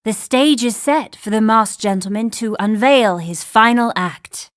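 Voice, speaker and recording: A woman voices an earnest young storyteller in an animated mystery game. The pitch is slightly high and the delivery scripted. The sound is dry, with no room around it. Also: measured pace, no echo, lightly acted. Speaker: narrator.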